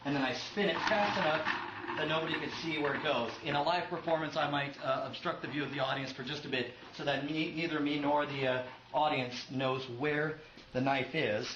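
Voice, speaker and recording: A man's voice: a little high, a little fast. A man explaining a magic routine step by step as a magician giving a demonstration. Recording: noisy, echoey, muffled clip playback.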